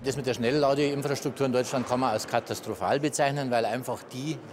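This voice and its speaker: A man's voice: medium pitch, steady tone, fairly quick, music in the background. A man in his fifties with a German accent gives spontaneous interview answers. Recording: clearly noisy location sound.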